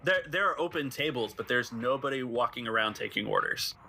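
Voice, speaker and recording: Very bright-voiced man running a tabletop game. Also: mid-range voice, animated, fairly quick, vocal fry. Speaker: game master. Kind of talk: narrating a tabletop roleplaying game.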